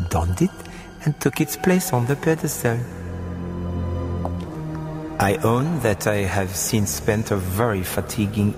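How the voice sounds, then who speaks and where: A suave murderer in a radio horror drama, icily polite and in no hurry. He speaks in precise, formal phrases with mocking courtesy, over clearly audible music. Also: medium pitch, in a large hall.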